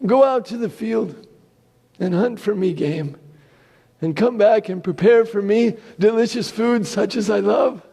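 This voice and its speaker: Frail old father played by a man: high, insistent, declamatory, scripture drama in a reverberant hall. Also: unhurried, gravelly, mellow, breathy edge, exaggerated voice. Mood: urgent.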